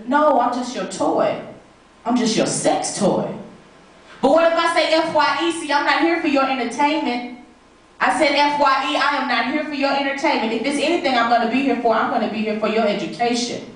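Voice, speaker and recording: A woman performing spoken word poetry live, with an emphatic, dramatic, rhythmic delivery. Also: sits high. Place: live stage performance in an echoey room. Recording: very echoey room, distant mic.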